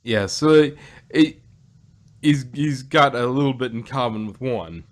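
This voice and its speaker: A woman's voice: a bass voice, a little slow. A woman co-hosting a casual fan discussion, chiming in and agreeing in a conversational way. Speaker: podcast co-host.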